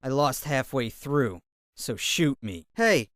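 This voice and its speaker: A man's voice: medium pitch, sing-song, takes it slowly, a bit bright, no echo, clean studio sound. A man voicing a brash, brooding young martial-arts fighter in a video game, with terse, cocky, dramatic delivery. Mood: cocky, nonchalant. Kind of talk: fighting game character voice clips. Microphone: close dry studio recording.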